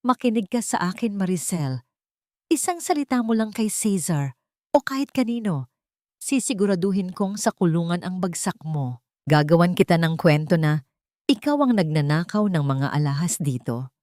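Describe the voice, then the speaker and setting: A woman's voice: lowish voice, lively intonation, normal speed, a little mellow, no echo, clean studio sound, close to an everyday voice. A woman voicing a scheming, cruel young woman who hides her contempt behind a sweet, fake manner. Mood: menacing. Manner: cold, mocking and threatening.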